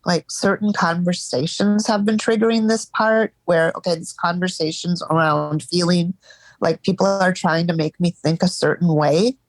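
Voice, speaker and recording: A woman in a live therapy session over a call, speaking haltingly and searching for words, stuffed up from crying earlier. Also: on the low side, fairly even intonation, dry recording, low-quality recording. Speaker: therapy client.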